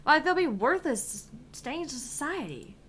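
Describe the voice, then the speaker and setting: A woman's voice: sits high, big pitch swings, unhurried, fairly bright tone, voice pushed up, heavily acted. A woman voicing a brash, fiery young female gamer with a theatrical, foul-mouthed manner, reading out a visual novel game. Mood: frustrated, subdued.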